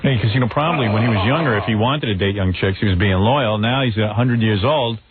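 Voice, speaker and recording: A man's voice: fairly low pitch, quick pace, over a noisy crowd. A man hosting a radio show, riffing in a sarcastic, joking way. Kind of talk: casual radio banter. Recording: tinny phone-like radio broadcast.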